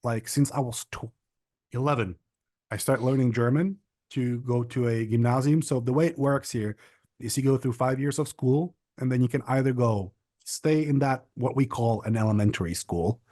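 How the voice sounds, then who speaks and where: A man's voice: medium pitch, moderate pace, mellow, no room sound, studio quality. Talkative young man. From Czech Republic. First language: Czech. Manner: rambling, restarts and stacks of fillers. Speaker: podcast guest. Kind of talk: casual interview chat.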